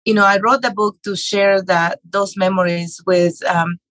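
Flat-voiced woman guest from Mexico. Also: medium pitch, moderate pace, dry recording. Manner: hesitant, many fillers and restarts. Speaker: podcast guest, real estate investor and author. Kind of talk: conversational interview.

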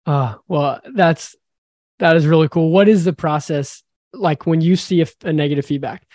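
A man's voice: on the high side, normal speed, a little mellow, dry recording, clean studio sound. A man hosting an interview podcast, enthusiastic and effusive as he praises answers and asks questions. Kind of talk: casual interview talk.

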